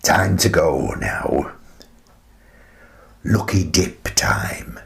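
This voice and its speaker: An actor playing a bereaved, tender old widower in a wistful, halting, reflective radio play monologue. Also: male voice, extremely low pitch, very animated, gravelly, a bit bright, full character voice. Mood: quiet resignation. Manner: slow, halting, reflective delivery.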